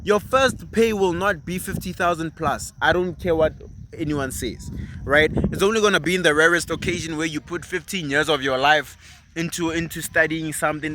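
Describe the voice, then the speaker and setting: A young man from South Africa, a vlogger talking in an animated, emphatic and persuasive way in casual motivational chat. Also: fairly high pitch, slight background noise. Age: 20s.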